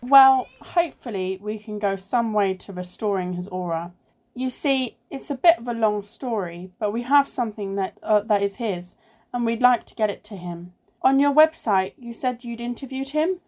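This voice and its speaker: A woman's voice: on the high side, normal speed, darkish tone, close to an everyday voice. Dry, sarcastic, sensible woman sleuth acted out. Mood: polite, businesslike. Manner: dry, sarcastic, matter-of-fact. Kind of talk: amateur radio play acting.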